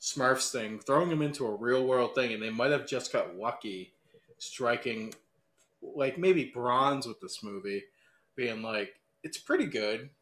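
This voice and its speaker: A man with a medium-pitched voice puts opinionated questions to a debater. His delivery is casual and conversational, and the room around him is clearly echoey. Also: lively intonation, measured pace, a bit bright. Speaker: podcast debate panelist asking questions.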